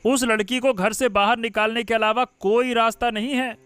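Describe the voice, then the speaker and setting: A woman voicing a mocking, sarcastic young sister-in-law who plots against the new bride in an animated story. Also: a little high, normal speed, smooth, no room sound, natural voice. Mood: plotting.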